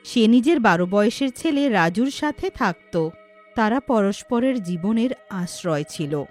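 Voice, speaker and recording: A woman's voice: medium pitch, lively intonation, measured pace, darkish tone, soft background music. A woman narrating a scripted story as a storyteller.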